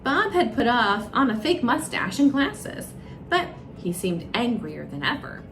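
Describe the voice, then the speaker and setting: A woman's voice: a little high, sing-song, a little slow, background noise. A woman storyteller narrating a children's storybook aloud. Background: music.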